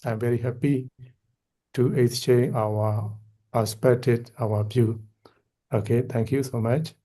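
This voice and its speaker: A man's voice: mid-range voice, fairly even intonation, takes it slowly, dark tone. A man from Myanmar, the forum organizer, introducing himself in short earnest phrases on a video call.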